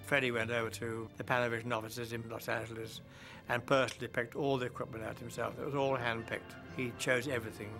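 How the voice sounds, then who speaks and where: A man recounting technical details of film equipment in a brisk, matter-of-fact way. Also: medium pitch, level tone, creaky, fairly bright tone, soft background music.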